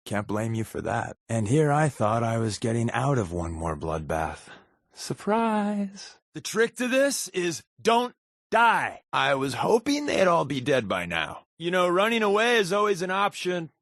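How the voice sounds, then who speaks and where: An American man playing a wisecracking, sly undercover agent in a video game, talking in casual quips with a deadpan, sardonic manner. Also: a little high, measured pace, no room sound, clean studio sound, heavily acted. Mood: wry, joking, then taunting in combat. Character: wisecracking, sly adult male undercover agent, habitual fibber. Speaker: companion character in a video game.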